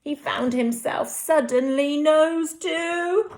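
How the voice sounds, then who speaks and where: A woman with an English accent, a teacher speaking and reading to young children in an expressive, dramatic storytelling way. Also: sits high, slow. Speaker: infant school teacher. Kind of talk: reading a picture book aloud to young children.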